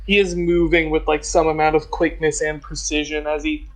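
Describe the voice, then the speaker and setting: A man with a fairly high voice and very little rise or fall plays a tabletop role-playing game. He describes things casually, in a measured, matter-of-fact way. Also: little pitch movement, low-quality recording.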